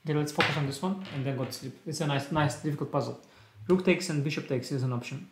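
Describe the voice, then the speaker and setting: A man's voice: on the high side, quick pace, echoey room, a little hiss or hum. A young man with a Russian-tinged English accent, chatting casually on a live stream and thinking aloud in short clipped phrases. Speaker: chess streamer. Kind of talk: casual live-stream chat while solving puzzles. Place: small room indoors.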